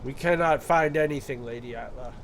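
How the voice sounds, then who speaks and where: A man's voice: mid-range voice, fairly quick, slight background noise, close to an everyday voice. A man playing a dutiful soldier giving formal reports in a fantasy audio drama.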